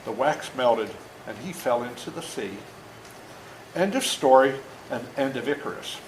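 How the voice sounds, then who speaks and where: A man in his seventies talking and reading aloud to children as an author, plain-spoken and deliberate, often checking in with questions. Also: mid-range voice, animated. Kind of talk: storytelling talk and read-aloud to children. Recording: echoey room with faint noise.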